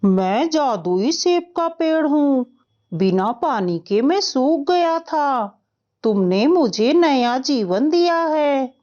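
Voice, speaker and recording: A woman voicing a kindly male magical apple tree in a cartoon, with a measured, reassuring manner. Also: high voice, animated, warm tone, dull audio. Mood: grateful, generous.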